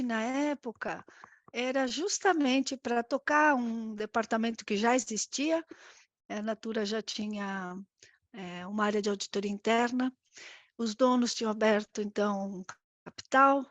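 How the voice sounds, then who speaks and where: Higher-voiced woman with an Argentine accent. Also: no room sound. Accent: Argentine accent in Brazilian Portuguese. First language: Spanish. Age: 50s. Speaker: interview guest, risk management executive. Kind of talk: conversational interview answer.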